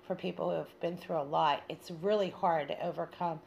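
A woman hosting a radio show in an informal monologue, talking in a halting, rambling way. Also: lowish voice, steady tone, creaky, darkish tone, a little hiss or hum.

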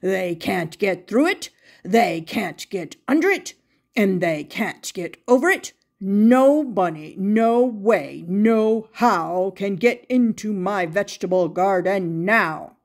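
A woman's voice: mid-range voice, animated, slow. An older woman voicing a boastful, grumpy male gardener from a children's storybook, with emphatic, gloating delivery. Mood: boastful, defiant.

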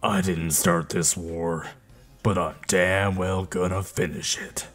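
A man's voice: low-pitched, flat delivery, slow, a bit bright, faint music behind, full character voice. A man doing a growling, menacing game villain. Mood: gruff. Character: gruff, grim male game soldiers and assassins.

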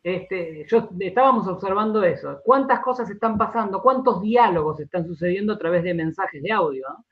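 A man's voice: high-pitched, expressive, normal speed, highs cut off. An Argentine man explaining things as an interview guest, repeating words and often checking in with short tag questions. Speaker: radio drama director, interview guest.

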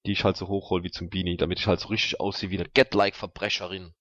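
A man's voice: low-pitched, flat delivery, racing, fairly bright tone, dry recording. A man playing a tabletop role-playing game, chatting casually in a blunt, colloquial, rambling way on a slightly dull, dry recording.

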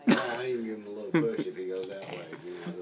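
A drunk young man joking and rambling rowdily while filming a late-night party in a small home bar room, swinging between shouts and mumbles. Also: low-pitched, rapid-fire, a loud crowd. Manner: rowdy, slurring, joking, often shouting or mumbling.

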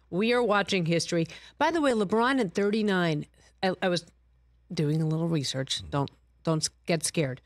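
A woman guest-hosts a sports talk show from a TV studio desk. She talks in a lively, wry and playful way into a close broadcast mic. The sound is dry and close. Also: medium pitch, dry recording. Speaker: guest host.

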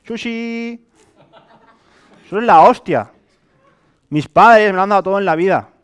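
A man in his late twenties with an accent from Spain, giving a colloquial, animated sales presentation to a live audience. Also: high-pitched, unhurried, clear, resonant tone. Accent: Spain Spanish. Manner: animated, colloquial, rhetorical questions and acted-out examples. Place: hotel meeting room with a small audience, indoors.

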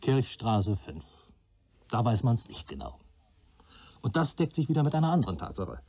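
An actor playing an analytical police assistant in a radio play, methodically reasoning step by step. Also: male voice, mid-range voice, sing-song, normal speed, mellow, slightly rough.

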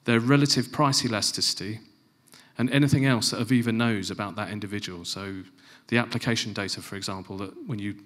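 A middle-aged man giving a conference presentation on a large stage into a handheld mic, stressing key phrases and dropping to quieter asides. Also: on the low side, fairly quick. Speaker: conference speaker. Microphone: handheld microphone.

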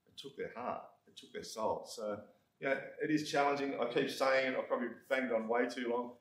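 A middle-aged man with an Australian accent gives a blunt, candid, self-deprecating talk to a seminar audience, speaking with a loud voice. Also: mid-range voice, fairly even intonation, a little fast. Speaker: community recovery worker. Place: university seminar room. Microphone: far room camera. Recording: echoey room from far off.

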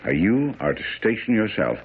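A commanding, self-assured detective lays out orders precisely and theatrically. The deep voice rises and falls dramatically in a tinny, faintly noisy radio play. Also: male voice, very animated, moderate pace. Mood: instructing, commanding.

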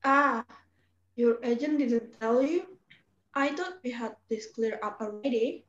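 A measured film producer voiced by a young woman with a slightly high voice and slow delivery. The video-call sound is muffled and roomy. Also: a bit bright. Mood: patient, firm. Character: patient film producer handling a difficult star. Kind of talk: amateur dramatic reading.

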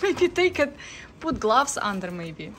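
A woman's voice: fairly high pitch, measured pace. A woman filming in her home kitchen, chatting and exclaiming as she handles a roast turkey. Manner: excited, laughing, exclaiming often. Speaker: vlogger. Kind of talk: casual kitchen chat while filming. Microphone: phone camera held by the speaker. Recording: noisy phone recording.

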